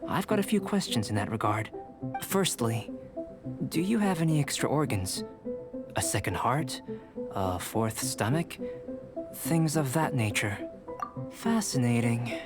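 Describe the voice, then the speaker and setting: A man voicing a composed young alchemist in a video game, speaking in a measured, precise and detached way. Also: medium pitch, big pitch swings, over music. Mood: calm, inquisitive. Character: calm, analytical young male alchemist researcher.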